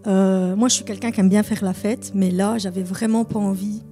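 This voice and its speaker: A woman's voice: medium pitch, steady tone, moderate pace, dark tone, soft background music. A woman in her thirties of Italian family background, giving a hesitant, earnest testimony at a church baptism service. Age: 30s. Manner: earnest, hesitant with many fillers.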